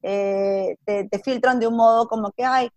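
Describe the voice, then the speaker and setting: A woman's voice: a little high, fairly even intonation, normal speed, darkish tone, dry recording. A woman from Peru with a Peruvian accent, chatting casually and telling personal stories, slipping in English words and acting out what people said. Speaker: interview guest.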